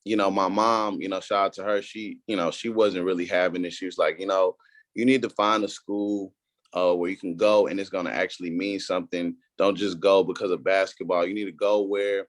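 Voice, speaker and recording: Young man, podcast guest, low voice, dry close sound, relaxed slangy storyteller. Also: brisk, no room sound. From Houston, Texas. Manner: laid-back, slangy and repetitive. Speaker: guest entrepreneur. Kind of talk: casual podcast interview.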